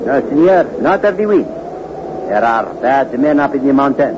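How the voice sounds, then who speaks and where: An actor playing a sly, falsely simple Mexican village guide in an old radio suspense play, speaking broken English with a Mexican accent. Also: male voice, medium pitch, big pitch swings, a little slow. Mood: humble, wary. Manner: broken English, hesitant ingratiating phrasing. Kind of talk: 1960s radio suspense acting. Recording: muffled, noisy old radio recording.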